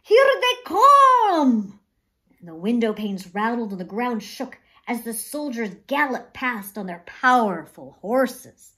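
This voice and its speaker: A woman reading a children's story aloud with expressive, dramatic, emphatic narration. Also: a little high, unhurried, hardly any pauses.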